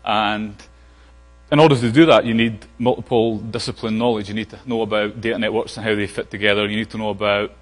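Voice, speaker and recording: A man's voice: on the low side, fairly even intonation, brisk, soft background music. A man with a Scottish accent giving a casual technical conference talk, full of fillers and restarts. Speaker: conference speaker. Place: large conference hall. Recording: long hall reverb.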